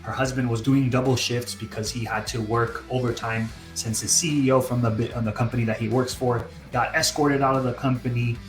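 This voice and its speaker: A man's voice: medium pitch, steady tone, fairly quick, few pauses, echoey room, soft background music, poor audio. A man hosting an interview, introducing his guests and asking questions in an upbeat, encouraging way. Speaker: podcast host, interviewer.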